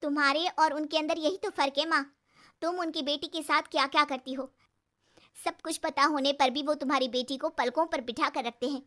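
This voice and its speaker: A woman's voice: sits high, steady tone, moderate pace, pushed and bright, voice pushed up. A woman voicing an outspoken young daughter in a cartoon story, indignant and reproachful. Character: outspoken young daughter standing up to her mother.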